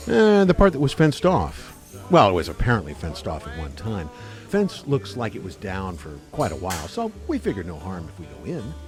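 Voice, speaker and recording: A man's voice: low-pitched, wildly swooping pitch, normal speed, over music. A man playing a skeptical, wisecracking hunter in a radio play, teasing and sociable. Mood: jovial, teasing. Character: wisecracking, skeptical hunting buddy, adult man.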